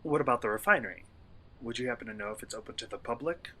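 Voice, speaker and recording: A man playing a polite, inquisitive traveler in an eerie radio play, speaking in a courteous, measured, bookish way. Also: mid-range voice, animated, bright, forceful tone. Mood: polite, curious. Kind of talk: radio play acting and narration.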